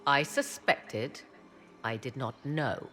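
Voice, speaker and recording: A woman's voice: rumbling low, slow pace, a bit bright, heavily acted. A woman voicing an aloof female mage who talks in formal, old-fashioned phrasing with a haughty edge. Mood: cool. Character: aloof, sharp-tongued female witch and mage. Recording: game cutscene with faint score.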